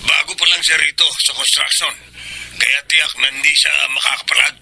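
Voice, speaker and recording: Gravelly, villainous boss man. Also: fairly high pitch, steady tone, fairly quick, sharp tone. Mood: scheming. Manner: menacing, theatrical. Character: abusive, scheming construction boss, a greedy bully. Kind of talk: Filipino radio drama acting. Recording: noisy radio drama recording.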